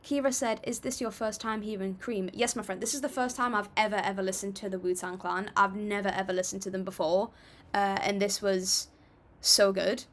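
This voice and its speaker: A woman's voice: mid-range voice, steady tone, fairly quick, few pauses. A young woman hosting a casual livestream, chatting and reading viewer comments aloud in an upbeat, apologetic way. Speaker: livestream music reactor.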